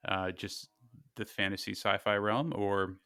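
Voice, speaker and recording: A man asking casual interview questions as a podcast host, haltingly searching for words. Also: fairly low pitch, steady tone, pushed and bright, smooth, no room sound, clean studio sound.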